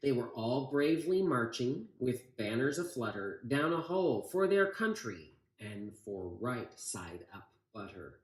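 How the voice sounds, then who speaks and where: A man's voice: mid-range voice, very animated, unhurried, few pauses. A man with an American accent reading a storybook aloud and talking informally to listeners. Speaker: city council member reading to children. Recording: echoey room.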